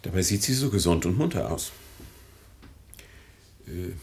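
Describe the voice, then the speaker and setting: A polite, serious visitor in a vintage radio drama. The voice is on the low side, measured and courteous, with a faint noise of old recording behind it. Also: male voice, sing-song, darkish tone, exaggerated voice. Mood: sociable, probing. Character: polite, earnest, probing gentleman visitor, an old friend. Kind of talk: 1950s German radio play acting.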